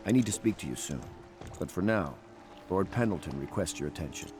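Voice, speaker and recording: A commanding leader of conspirators in a video game. He speaks low, with grave, measured authority, in a long hall reverb with faint music behind. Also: lively intonation. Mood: approving. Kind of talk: video game character acting.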